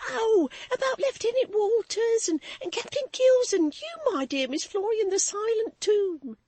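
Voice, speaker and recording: A woman voicing an excitable young maid who talks volubly between sobs and laughs. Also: normal speed, no echo. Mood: hysterical. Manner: shrill, sobbing, gushing.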